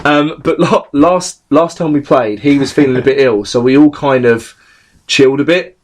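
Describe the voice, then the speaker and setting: A man's voice: mid-range voice, fairly even intonation, normal speed. A young man hosting a podcast, chatting casually with friends, swearing freely and rambling through a story with lots of ums. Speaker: podcast host.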